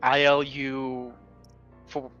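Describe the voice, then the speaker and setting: A man's voice: mid-range voice, completely flat delivery, drawn-out words, highs cut off, music in the background. A man chatting casually as a player in an online tabletop game, with a dry, joking manner. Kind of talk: casual group chat during an online game.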